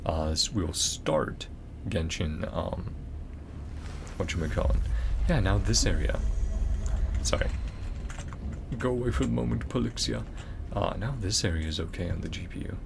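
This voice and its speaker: Low, gravelly male game streamer. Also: moderate pace, background noise. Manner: rambling, thinking aloud. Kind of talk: casual livestream commentary. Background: game music.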